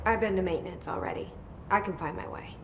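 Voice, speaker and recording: An actress playing a determined, plain-spoken young director in a video game, direct, guarded and understated. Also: female voice, fairly low pitch, very animated, fast, gravelly. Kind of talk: video game dialogue acting. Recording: echoey room, faint background noise.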